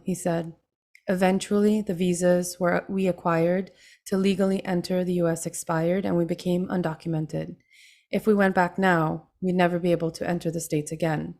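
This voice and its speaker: Low-voiced Trinidad-born woman reading her memoir. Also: darkish tone. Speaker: author reading and answering. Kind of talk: reading from her memoir, then panel answers.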